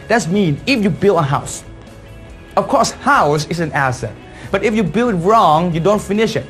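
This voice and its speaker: Higher-pitched Thai-accented man giving motivational talk. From Thailand. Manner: emphatic, repetitive, uses rhetorical questions. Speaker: network marketing leader and speaker. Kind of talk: motivational business presentation. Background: background music.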